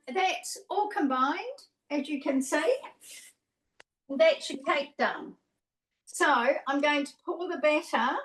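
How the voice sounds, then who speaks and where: A woman's voice: high voice, fairly bright tone, recorded from a distance. A woman giving a casual cooking demonstration over a video call, chatty and rambling, correcting herself as she goes. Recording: roomy, from away from the mic.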